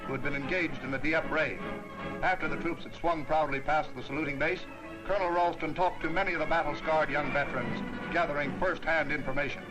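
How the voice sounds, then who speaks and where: Old 40s newsreel guy, brisk and rousing, kinda high voice, loud band music under him. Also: steady tone, low-quality recording. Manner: brisk, stirring announcer delivery. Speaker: newsreel narrator. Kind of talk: 1940s newsreel narration.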